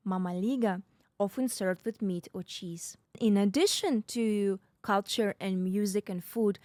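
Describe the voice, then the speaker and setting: A woman's voice: medium pitch, warm tone, no room sound. Woman from the North Caucasus, wry podcast host. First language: a North Caucasian language. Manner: wry, ironic asides. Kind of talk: explanatory podcast monologue.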